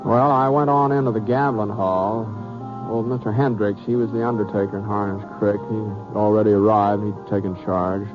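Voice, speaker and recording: An actor playing a mild, modest American cowboy gunslinger in a radio western, halting and plain-spoken, with a folksy drawl. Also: male voice, on the low side, fairly even intonation, close to an everyday voice. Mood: reflective. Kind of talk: 1950s radio western acting and narration. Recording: muffled old radio broadcast, music bed.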